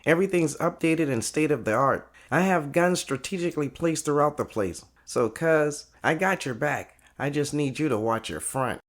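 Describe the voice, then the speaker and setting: A man playing a loyal, tech-savvy cousin in an audio drama, speaking in a measured, serious, colloquial way. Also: on the high side, lively intonation. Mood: earnest.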